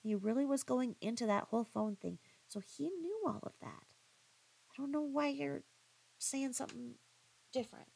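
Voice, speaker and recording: A woman giving critical reaction commentary in a pointed, halting, questioning manner. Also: sits high, gravelly, darkish tone, husky, low-quality recording. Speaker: commentary vlogger.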